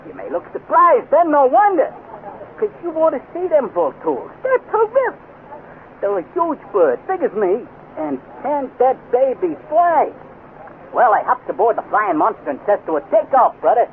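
A crackly, narrow-band 1950s radio recording carries a cocky space adventurer. His voice is very high and leaps wildly in pitch. He brags in slangy tall tales and gets flustered easily. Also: huge pitch swings, moderate pace, full character voice. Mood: excited bragging. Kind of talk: 1950s radio serial acting.

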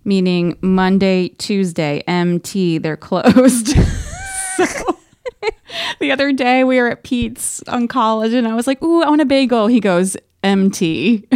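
A woman's voice: a little high, unhurried, darkish tone, clear, resonant tone, dry recording, clean studio sound. An American woman co-hosting a podcast with informal, playful, conversational banter. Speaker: podcast co-host. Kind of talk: casual chatty banter.

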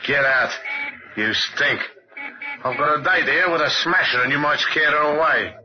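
An actor playing a menacing, contemptuous razor gangster in an old-time radio drama. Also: male voice, fairly high pitch, normal speed, background noise, close to an everyday voice. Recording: dull old radio recording.

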